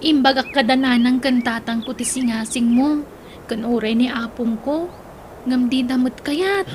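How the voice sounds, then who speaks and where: A woman's voice: sits high, normal speed, slight background noise, lightly acted. A woman playing a shy seventeen-year-old schoolgirl in a comedic radio drama, speaking hesitantly with a Filipino accent. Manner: hesitant, questioning.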